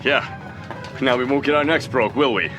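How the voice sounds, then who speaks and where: An actor playing a cocky, smug spaceship security guard in a comedic sci-fi audio drama. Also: male voice, medium pitch, moderate pace, over loud music, natural voice.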